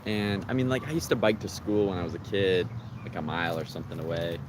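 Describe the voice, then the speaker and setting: A man's voice: sits low, steady tone, fast talker. A man in his early twenties, talking casually to viewers in an easygoing, loose way with plenty of fillers. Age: 20s. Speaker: vlogger. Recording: loud traffic noise outdoors.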